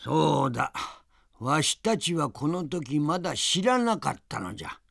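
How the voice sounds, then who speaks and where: A spirited old adventurer in an audio drama. His voice crackles heavily with gravel and swings widely as he spins the tale, recorded close and dry. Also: fairly high pitch, sing-song, unhurried, fairly bright tone, dry recording, exaggerated voice. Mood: reminiscing. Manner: old-man diction, storyteller's drama. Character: crusty, lively old grandfather adventurer recounting his journey. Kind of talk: Japanese audio drama acting and narration.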